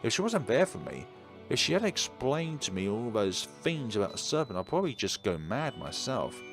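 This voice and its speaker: A man's voice: mid-range voice, sing-song, moderate pace, soft background music. A man reading a horror visual novel aloud in a dramatic narrating style, as a let's player.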